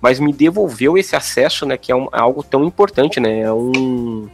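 A man's voice: medium pitch, normal speed, a little mellow. A Brazilian man talking casually as a guest on a podcast. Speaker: podcast guest, audio description consultant. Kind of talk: casual conversation.

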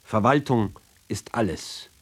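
Slow-paced man reading literature, sardonic. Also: on the low side, husky. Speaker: reader of a literary text. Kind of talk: literary radio reading, declamatory.

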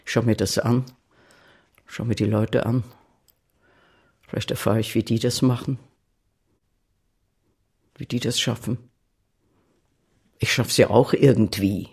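An actor playing a subdued, lonely older man with a husky, gravelly voice, muttering in a naturalistic radio drama. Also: lowish voice, fairly even intonation, unhurried, pauses often, darkish tone, full character voice. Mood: downcast, pensive.

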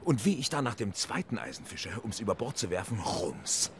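An actor playing a hearty, garrulous one-armed whaling captain in an audio drama, speaking like a vivid yarn-spinner. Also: male voice, on the low side, big pitch swings, moderate pace, a little hiss or hum. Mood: excited storytelling.

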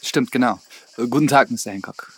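An actor playing an earnest, reserved priest who probes and questions, in a radio drama with first-person narration. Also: male voice, medium pitch, a little fast, breathy edge. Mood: awkward, evasive.

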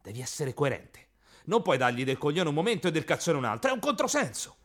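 An actor playing a crude, gleeful, bullying interrogator in a theatrical radio drama, moving between hushed menace and loud outbursts. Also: male voice, fairly high pitch, big pitch swings, fast talker. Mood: scolding.

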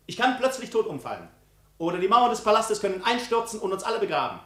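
Witty, fearless prince, swooping intonation. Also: male voice, sits high, sing-song, fairly bright tone. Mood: confident, amused. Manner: courtly, teasing, ironic, unhurried storytelling. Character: bold, witty foreign prince, unafraid of death. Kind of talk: 1950s German radio drama acting. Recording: roomy 1950s radio studio recording.

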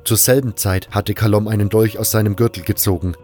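A man's voice: fairly low pitch, steady tone, a little fast, a little mellow, faint music behind. A man reading scripted first-person narration for an audio drama.

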